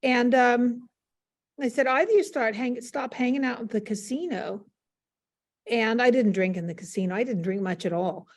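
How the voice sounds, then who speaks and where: A woman's voice: fairly high pitch, normal speed. An American woman in her seventies, frank and matter-of-fact, reminiscing conversationally as an interview guest on a video call. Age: 70s. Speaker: interview guest, former stage performer.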